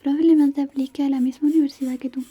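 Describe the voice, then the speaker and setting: A woman doing a soft-spoken, half-whispered ASMR roleplay as a shy, caring high school classmate, gentle and hesitant. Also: fairly even intonation, fast. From Chile.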